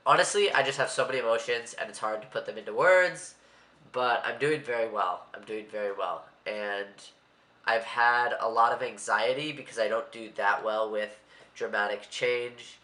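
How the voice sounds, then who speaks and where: Young man, congested, rambling and a bit anxious, bright tone in an echoey room. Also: mid-range voice, normal speed. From Maryland, USA. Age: young adult. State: stuffy, congested. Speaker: vlogger. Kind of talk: casual vlog monologue.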